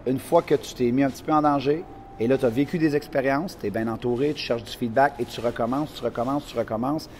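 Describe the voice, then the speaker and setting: A man with a Quebec French accent tells stories in a relaxed chat. He talks in a middle pitch and brings the scenes to life with lively voices and quotes. A faint hiss of noise sits behind him. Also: fast. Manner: animated, acts out scenes and quotes. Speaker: motivational speaker, guest. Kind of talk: casual storytelling chat.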